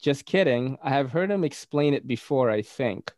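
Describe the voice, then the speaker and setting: A man's voice: mid-range voice, lively intonation, normal speed, a little mellow, no echo, clean studio sound. A man with a Filipino accent hosting a podcast in casual, slangy chat, laughing often. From Philippines. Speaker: podcast host.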